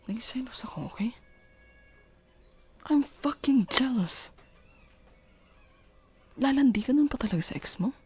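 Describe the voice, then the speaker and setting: A woman's voice: sits high, expressive, darkish tone, heavily acted. A woman with a Filipino accent playing a jealous, domineering girlfriend in an intimate ASMR roleplay, questioning and commanding in mixed Tagalog and English. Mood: frustrated, pleading. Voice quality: husky, breathy. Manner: slow deliberate questioning, commanding, switching between English and Tagalog. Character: jealous, domineering girlfriend scolding her partner.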